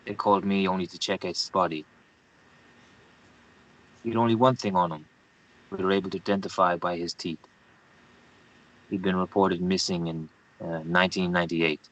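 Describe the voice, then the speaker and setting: A man's voice: deep voice, steady tone, moderate pace, pauses often, fairly bright tone, highs cut off. A man playing a curt, grave Guatemalan newspaperman in his thirties at a screenplay table read. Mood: grim, haunted.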